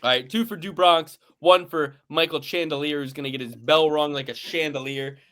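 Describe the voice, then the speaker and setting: A man hosting a casual fight-betting podcast, playful and animated, swearing as he teases his co-hosts. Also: a little high, moderate pace. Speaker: podcast host.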